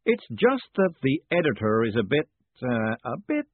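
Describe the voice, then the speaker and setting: A man's voice: mid-range voice, sing-song, moderate pace, husky, no echo, pitched above the performer's own voice. A man voicing a big, keen pin-shop keeper in an audiobook, proud and furtive, with a knowing, confiding salesman's patter. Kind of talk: audiobook character acting. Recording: muffled narrow phone-like sound.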